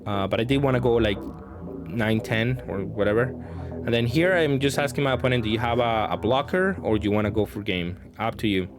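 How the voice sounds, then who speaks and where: Man, mid-range voice, casual game commentary, halting think-aloud delivery, prominent background music. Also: medium pitch. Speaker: card game player commentating on own matches.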